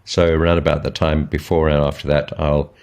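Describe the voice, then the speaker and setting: A man giving informal, explanatory market commentary as a trading educator, in a measured, step-by-step way. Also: rumbling low, level tone, darkish tone, clear, resonant tone. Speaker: trading educator, channel host.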